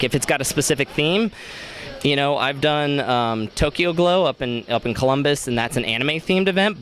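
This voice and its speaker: A man's voice: mid-range voice, fairly bright tone. Young man chatting casually in an interview. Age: young adult. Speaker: convention DJ and interview guest.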